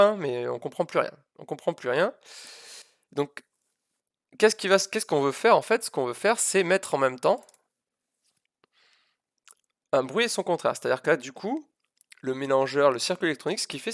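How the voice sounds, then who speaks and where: A man's voice: on the high side, expressive, fairly quick, long pauses, no room sound, studio quality. A man giving an informal live physics lesson, hesitant and self-deprecating, repeating and stressing key words as he explains. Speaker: physics teacher streaming live.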